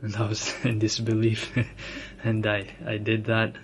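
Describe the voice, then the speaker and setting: A young man from Ethiopia telling his own story, soft-spoken and reflective, with frequent restarts and light laughs. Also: fairly low pitch, monotone, a little slow, slight background noise. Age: young adult. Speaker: interviewee sharing his immigrant story. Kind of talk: personal storytelling.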